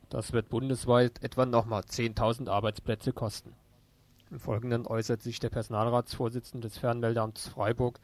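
A man presenting radio news, reading a scripted report. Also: medium pitch.

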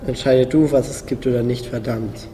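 A man playing a blunt, worldly-wise talking cat in a radio play, gruff and matter-of-fact. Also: mid-range voice, steady tone, normal speed, mellow, natural voice. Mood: grumpy. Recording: long reverb, faint music behind.

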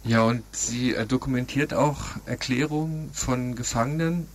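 A man's voice: medium pitch, moderate pace, slight background noise. A man hosting a radio program, reading introductions and putting questions to a guest. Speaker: radio host and interviewer. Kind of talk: radio news intro and interview.

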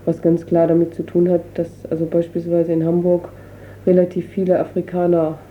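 Low-voiced woman, dull noisy recording. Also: monotone, fairly quick. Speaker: interviewee, refugee council representative. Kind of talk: spontaneous interview explanation.

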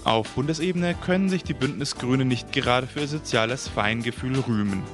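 A man's voice: mid-range voice, brisk. A man presenting a radio news magazine, reading scripted introductions over music. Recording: music bed under the voice.

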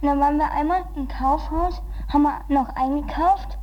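A woman being interviewed, answering haltingly in short, simple phrases. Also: sits high, slight background noise. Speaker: group participant being interviewed. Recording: slightly dull lo-fi radio interview.

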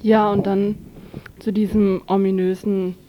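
A woman's voice: medium pitch, flat delivery, a little slow, muffled recording, a little hiss or hum. A woman presenting a radio news overview in an informal way, stumbling now and then over announcements.

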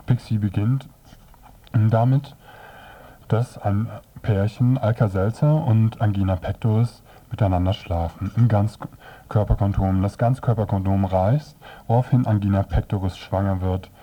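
A man presenting a scripted review on the radio as narrator, with measured, halting phrasing. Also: on the low side, steady tone, dark tone.

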